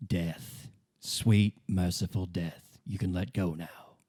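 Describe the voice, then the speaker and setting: A man voicing an ancient reptilian brain, a seductive inner voice that slowly coaxes toward death. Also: low-pitched, fairly even intonation, mellow, dry recording, clean studio sound, pitched below the performer's own voice. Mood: soothing, morbid. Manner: slow, coaxing.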